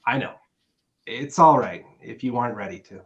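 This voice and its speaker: A man acts an affectionate boyfriend who gently presses his case in a play reading. His pitch is very lively, his delivery conversational and hesitant, and the audio is a bit muffled and lo-fi. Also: normal speed, lightly acted. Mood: tender, hesitant. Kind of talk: naturalistic dramatic script reading.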